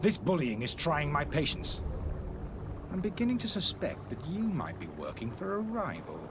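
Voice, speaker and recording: An actor playing a disgraced alchemist peddling potions in a video game, theatrical, aggrieved and protesting. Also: male voice, on the high side, huge pitch swings, normal speed, background noise. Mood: indignant.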